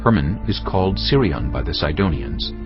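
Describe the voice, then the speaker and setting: Very deep-voiced male narrator. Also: expressive, moderate pace, lo-fi sound, over music. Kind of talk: scripted scripture narration.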